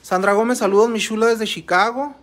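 A man's voice: sits high, fairly even intonation, fairly bright tone. A man, reading viewers' comments and greetings aloud during a home cooking livestream. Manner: brisk announcer-like reading of names and greetings. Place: home kitchen, indoors.

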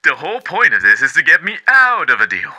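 A man's voice: a little high, huge pitch swings, fairly quick, bright, forceful tone, heavily acted. A man voicing a smug, grinning male demon overlord in a fan comic dub, talking in a theatrical, mocking, sing-song way. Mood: sly.

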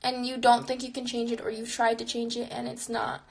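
A woman's voice: a little high, monotone, brisk, gravelly, darkish tone. Chatty young woman live-streaming from her bedroom. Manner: chatty, frequent "like" fillers, reflective. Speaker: streamer answering viewer questions. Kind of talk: casual live-stream chat. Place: small bedroom with neon lights, indoors. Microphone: phone camera close to face.

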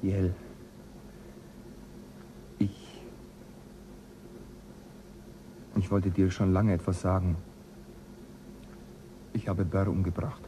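A man's voice: low-pitched, flat delivery, slow, pauses often, warm tone, slight background noise, poor audio. An actor playing a troubled male astronaut crew member in a radio play, searching and frantic. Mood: confessing. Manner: searching, increasingly frantic calling.

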